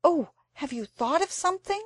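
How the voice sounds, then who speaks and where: A woman's voice: fairly high pitch, a little slow, no room sound, clean studio sound, pitched above the performer's own voice, full character voice. A woman doing a blunt, glum young girl. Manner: flat and glum. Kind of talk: storybook character voice.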